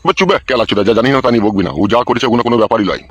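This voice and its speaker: A man's voice: medium pitch, animated, rapid-fire, a bit bright, dry recording. A man voicing a crude, bossy father-in-law in a comic cartoon, cursing and giving orders. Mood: irritated, cursing. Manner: gruffly cursing, commanding. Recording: dull, lo-fi sound.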